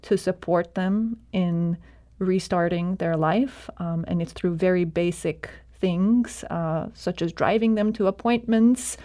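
Mellow-voiced woman center director. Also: fairly low pitch. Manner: measured, earnest, with fillers. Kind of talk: radio interview.

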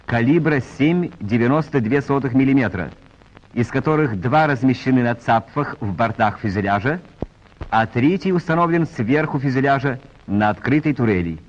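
Muffled old 1940s film narrator guy, formal measured announcer reading, medium pitch, lo-fi sound. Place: voice-over recorded for a film.

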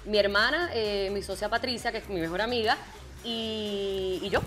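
A woman's voice: medium pitch, moderate pace, a bit bright, faint music behind. A Puerto Rican woman in her thirties, an actress chatting easily and laughing as a guest on a TV studio set. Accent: Puerto Rican Spanish. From Puerto Rico. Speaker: actress and t-shirt designer, guest. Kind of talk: casual interview chat.

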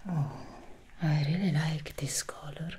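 A woman playing a doting demon hairstylist, soft whisper, low pitch, dark mellow tone, close mic ASMR. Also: fairly even intonation, breathy edge, full character voice. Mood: soothing whisper. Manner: slow, soothing, murmured reassurances. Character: seductive female demon hairstylist, calm and doting. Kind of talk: ASMR haircut roleplay. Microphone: close sensitive ASMR mic.

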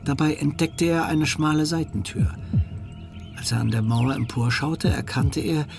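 A man narrates a radio play in a scripted storytelling style. His voice is a little high with a gravelly creak, and music plays behind him. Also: moderate pace.

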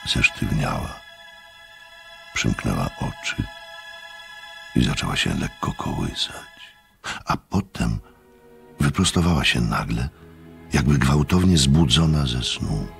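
Very deep, gravelly storyteller. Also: male voice, big pitch swings, slow pace, long pauses, soft background music, full character voice. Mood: grave, observant. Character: thoughtful male narrator, sceptical yet impressed witness. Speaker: narrator. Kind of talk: dramatic scripted radio narration.

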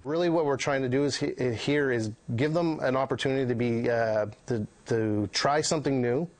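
A man in his thirties explains his school lab in a relaxed, chatty way, pausing on fillers. He is recorded indoors on a clip-on mic. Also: mid-range voice. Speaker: science and technology teacher. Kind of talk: casual explanation.

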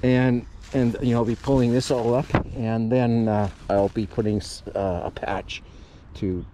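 A man hosting a casual hands-on repair tutorial, plain-spoken and folksy, with a halting delivery. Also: mid-range voice, fairly even intonation, noisy background. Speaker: host of DIY renovation channel. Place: outdoors under a raised mobile home.

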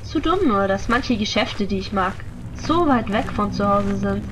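A woman playing an anxious young lady in an audio drama, expressive and exclamatory. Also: medium pitch, normal speed, a little hiss or hum, close to an everyday voice, low-quality recording. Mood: complaining, shaken. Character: young wealthy woman, anxious would-be victim. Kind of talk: German audio drama acting.

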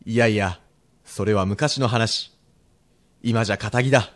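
A man playing a frank, steely Edo-era merchant husband with rough old townsman speech in a radio drama. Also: fairly low pitch, a little slow, close to an everyday voice. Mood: persuading. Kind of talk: period radio drama acting.

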